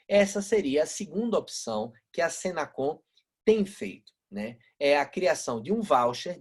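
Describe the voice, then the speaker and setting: A man's voice: fairly high pitch, slightly rough. A man with a northeastern Brazilian accent from Aracaju, lecturing online as a law professor in a didactic way, asking rhetorical questions and checking in with listeners. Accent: northeastern Brazilian Portuguese, Aracaju. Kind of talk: live online lecture explaining law with example cases. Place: online video-call lecture.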